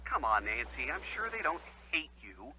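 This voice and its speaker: An actor playing an upbeat, earnest college-age American boyfriend chatting on a phone call in a video game. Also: male voice, medium pitch, big pitch swings, moderate pace, a crowd in the background. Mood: reassuring. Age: young adult.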